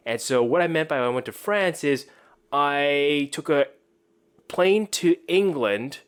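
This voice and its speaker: A man's voice: a little high, lively intonation, takes it slowly, clean tone. A man born in China chatting casually on a podcast in an anecdotal way, repeating words for emphasis. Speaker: podcast participant.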